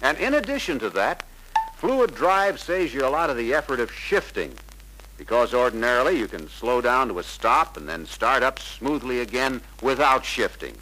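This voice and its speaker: Young male salesman: polite, persuasive, forceful bright voice, faint noise of an old 1950s film soundtrack. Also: medium pitch, very animated, moderate pace, low-quality recording. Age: young adult. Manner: deferential, persuasive, earnest. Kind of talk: 1950s sales pitch acting.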